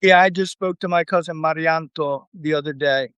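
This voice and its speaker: A man as an interview guest, answering in a relaxed, rambling way with frequent fillers. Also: fairly high pitch, moderate pace, clean tone, no echo, muffled recording. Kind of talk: casual conversation.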